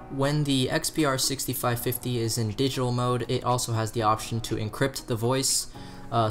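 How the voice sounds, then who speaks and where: Man, American accent, mid-range voice, scripted review narration, shop presenter, light guitar backing. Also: mid-range voice. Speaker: presenter from a two-way radio shop. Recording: music behind the voice. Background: guitar music.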